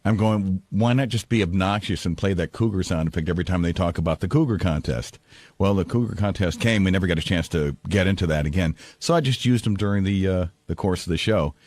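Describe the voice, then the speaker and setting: A man's voice: deep voice, fast talker, a little mellow, dry recording. A man who works sound effects on a radio show, telling anecdotes in casual conversation.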